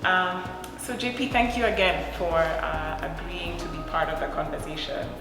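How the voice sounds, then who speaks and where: A woman hosting an interview podcast, asking curious questions with many ums and soft mm-hmms. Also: fairly low pitch, steady tone, moderate pace. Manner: warm, curious questioning with frequent filler sounds and soft affirmations. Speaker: podcast host. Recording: echoey room with long reverb and music bed.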